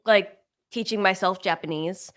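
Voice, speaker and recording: A woman co-host chats casually on a livestream, drifting from point to point with lots of fillers. Her voice sits at a middle pitch. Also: normal speed, a bit bright. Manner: meandering, filler-heavy.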